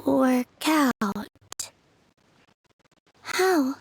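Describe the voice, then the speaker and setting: A woman voicing a gentle, wistful young woman in a video game, speaking softly and hesitantly with reserve. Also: high voice, lively intonation, drawn-out words, creaky, slight background noise, full character voice, poor audio. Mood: doubtful.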